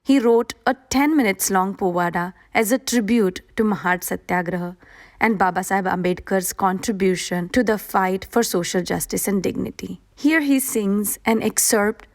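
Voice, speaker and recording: A woman podcast host reading a scripted narration in a steady, earnest, explanatory way. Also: mid-range voice, moderate pace.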